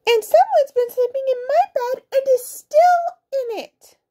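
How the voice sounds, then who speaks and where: Squeaky, plaintive baby bear. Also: female voice, animated, measured pace, dark tone. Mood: indignant, upset. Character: small indignant baby bear, whiny storybook cub.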